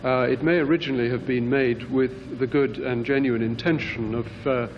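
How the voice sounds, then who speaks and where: A man of average pitch offers a formal, halting comment at a public meeting. Clear noise sits behind his voice. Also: steady tone. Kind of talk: hesitant formal comment from the floor. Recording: clearly noisy background.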